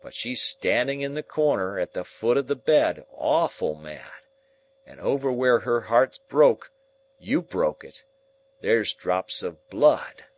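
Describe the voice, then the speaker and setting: A man's voice: medium pitch, lively intonation, a little slow, pitched above the performer's own voice, close to an everyday voice. A man playing a raving, terrified man. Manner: screaming, pleading in panic. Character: delirious terrified man seeing a ghost.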